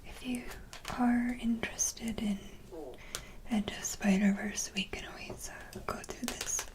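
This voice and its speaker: A woman host giving an ASMR show-and-tell in a soft, breathy whisper, with a gentle, halting delivery. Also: a little high, steady tone.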